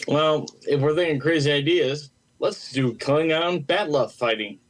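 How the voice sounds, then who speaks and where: A man's voice: on the high side, unhurried, close to an everyday voice. A man playing a skeptical, sarcastic coworker in an amateur audio play, with dry, hesitant interjections. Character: skeptical, sarcastic male coworker, reluctant to join in.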